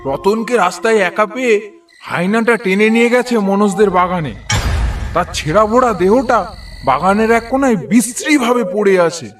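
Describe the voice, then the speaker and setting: A man plays a deferential cartoon villager with a high-pitched voice. He sounds urgent and pleading, a bearer of grim news, a little off the mic with music clearly underneath. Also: lively intonation, a little fast, distant mic. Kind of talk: cartoon voice acting.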